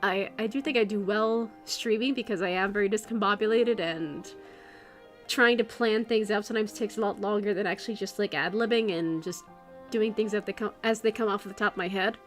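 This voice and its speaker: A woman streamer chatting casually at her computer, rambling and restarting her sentences, her voice going hoarse. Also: medium pitch, fairly quick, soft background music. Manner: rambling, self-interrupting, many restarts. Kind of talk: casual livestream chat.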